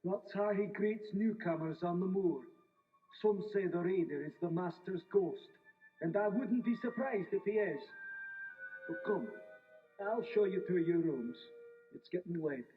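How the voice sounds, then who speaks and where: A man's voice: sits high, a little slow, distant mic, faint music behind. An actor playing a nervous, polite male castle servant in a children's storybook recording. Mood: ominous. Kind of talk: children's storybook audio acting. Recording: tinny band-limited toy tape.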